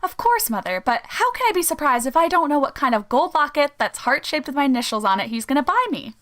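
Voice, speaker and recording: Woman playing teen radio-sitcom daughter, high, animated, breezy, gushing. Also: fast talker. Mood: matter-of-fact, breezy. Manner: gushing, self-important. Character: breezy, boy-crazy teenage daughter. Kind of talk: vintage radio sitcom acting.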